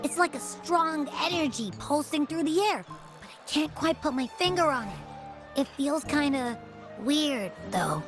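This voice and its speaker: A bright, high-pitched little creature who teases her companion and chatters in bouncy bursts. She sounds playful and greedy. Soft game music plays underneath. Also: lively intonation. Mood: wary. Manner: bouncy, teasing, quick to tangents. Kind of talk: video game voice acting.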